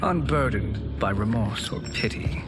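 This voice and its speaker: A man playing a smooth, scheming sorcerer in a video game cutscene, speaking formally and persuasively. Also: medium pitch, expressive, measured pace, natural voice. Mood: confident, grandiose. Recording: loud cinematic music over dialogue.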